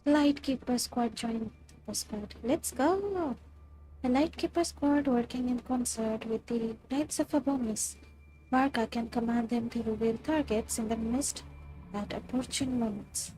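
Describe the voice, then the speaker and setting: A woman's voice: fairly high pitch, measured pace, mellow, faint music behind, poor audio. A woman with an Indian accent chatting casually as she livestreams a game. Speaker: game streamer.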